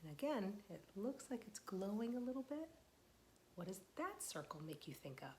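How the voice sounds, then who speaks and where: A young woman, a children's librarian, reading a picture book aloud to small children, gentle and patient, asking them questions and waiting for their answers. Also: medium pitch, big pitch swings, normal speed, slight background noise.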